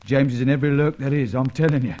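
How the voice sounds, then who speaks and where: An actor playing a little, nervous, grumbling sidekick with slangy, plaintive talk in an old-time radio detective drama. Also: male voice, medium pitch, fast talker, warm tone, slight background noise, close to an everyday voice. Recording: dull vintage radio recording.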